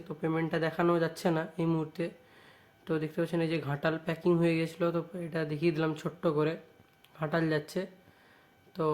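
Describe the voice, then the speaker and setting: A man with a West Bengali accent casually showing off products as a shop owner vlogging, often adding little checking tag phrases. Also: on the high side. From West Bengal, India. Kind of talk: casual product showcase vlog.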